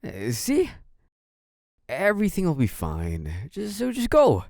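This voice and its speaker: Audio roleplay lead: male actor as young crime-family heir, sly and polite, lively pitch movement, very dry intimate sound. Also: takes it slowly, no echo, exaggerated voice. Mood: nervous, polite. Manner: hesitant and apologetic act, turning smug and taunting. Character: young mafia heir, feigned naive politeness hiding cunning, possessive menace. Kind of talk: dramatic first-person audio roleplay.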